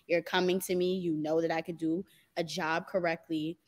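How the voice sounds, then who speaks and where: A young woman talks loosely and candidly as a guest, her voice sitting a little low, breaking into easy laughter and sprinkling "y'all" and "like" through her answers. The tone is casual and conversational. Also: moderate pace. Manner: relaxed and candid, laughs often, says "y'all" and "like". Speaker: guest, dancer and model. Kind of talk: casual interview chat.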